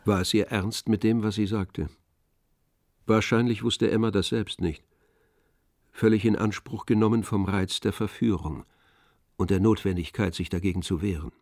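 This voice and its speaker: A man narrating a literary radio drama in a measured, composed, knowing manner. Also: fairly low pitch, steady tone, darkish tone, dry recording, studio quality, lightly acted. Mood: composed, intimate.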